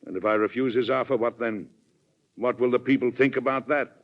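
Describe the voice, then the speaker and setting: An actor playing a thoughtful, cautious, courteous president with a measured, formal delivery in 1940s radio drama style. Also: male voice, mid-range voice, steady tone, close to an everyday voice. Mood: worried, grave. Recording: muffled 1940s radio broadcast recording.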